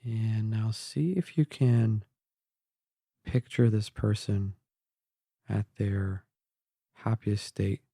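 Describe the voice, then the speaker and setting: Male meditation guide: calm, gentle, mellow tone with some creak, recorded close and dry. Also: lowish voice, dry recording. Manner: calm, measured, gentle, with long pauses. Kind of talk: slow guided meditation narration.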